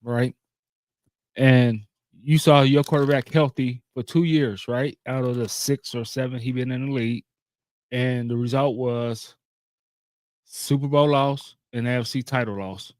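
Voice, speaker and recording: A man's voice: mid-range voice, fairly even intonation, unhurried, darkish tone, no room sound. An American man co-hosting a sports podcast in casual football banter, prodding his co-host with questions and challenges.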